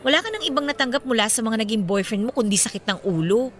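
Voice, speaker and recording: An actress playing a chatty, concerned young best friend in a radio drama, speaking expressively and with sympathy. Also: female voice, a little high, lively intonation, fast, soft background music, lightly acted. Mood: concerned, hopeful. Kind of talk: Filipino radio drama dialogue.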